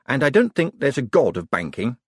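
Man as quick-witted male rogue, breezy, ironic, animated, comic storybook character, dry recording. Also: mid-range voice, slightly rough, no room sound, pitched above the performer's own voice. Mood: wry.

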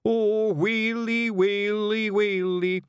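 A man's voice: high voice, sing-song, slow pace, clean tone, dry recording, studio quality, voice pushed up, exaggerated voice. A man reading aloud and voicing a simple-minded little male fairy in a Scottish accent, sobbing and wailing. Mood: weepy.

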